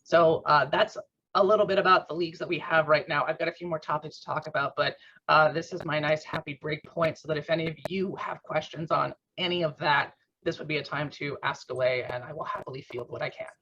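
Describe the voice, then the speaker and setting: A man with a fairly high voice runs through club announcements on a video call, loose and full of ums. His voice is gravelly and husky, strained from a workout. The call audio comes through muffled. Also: steady tone, fairly quick, sharp tone, a little hiss or hum. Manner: informal, rambling explanations with fillers. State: rough, strained voice after a workout. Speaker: club board secretary. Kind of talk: online meeting update. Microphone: computer mic on a video call.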